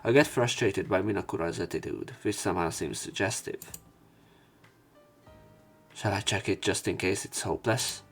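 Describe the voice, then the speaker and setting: A man with a fairly low voice reads a visual novel story aloud in let's play style. His reading is a little halting, with small stumbles and repeated words. Faint music plays behind him. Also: creaky. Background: game music.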